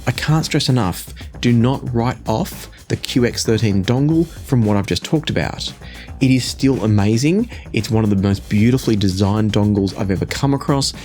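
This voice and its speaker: Measured man reviewing audio gear. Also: mid-range voice, mellow. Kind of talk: product review to camera. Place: small indoor home studio room.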